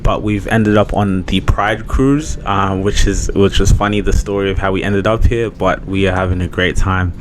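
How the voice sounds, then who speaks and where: A man's voice: sits low, fairly even intonation, normal speed, few pauses, noisy background. A young man hosting a family podcast, casual and upbeat, using easy slang. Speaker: podcast host. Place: on a cruise ship.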